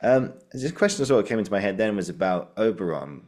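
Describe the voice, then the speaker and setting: A man's voice: lowish voice, big pitch swings, fast, a little mellow. A man hosting a podcast interview in an informal, jokey, conversational way, thinking aloud as he goes. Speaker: podcast host interviewing a guest.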